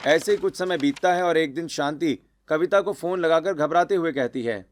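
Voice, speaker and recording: A man reading scripted narration for an animated family drama story. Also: fairly high pitch, moderate pace, a little hiss or hum. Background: music.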